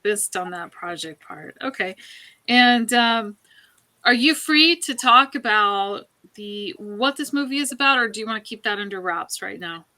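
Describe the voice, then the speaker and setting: A woman conducts an interview in a bright, crisp-edged voice of average pitch. She asks conversationally, acknowledges with an okay, and restates what she has heard to confirm it. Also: a little slow. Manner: acknowledges with okay, then restates and checks details.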